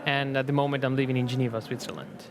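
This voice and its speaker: A man's voice: mid-range voice, fairly even intonation, racing, noisy background. A man in his early twenties from Italy, speaking hesitantly with frequent ums and laughs on a panel. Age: 20s. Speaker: competition pianist guest. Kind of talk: panel talk.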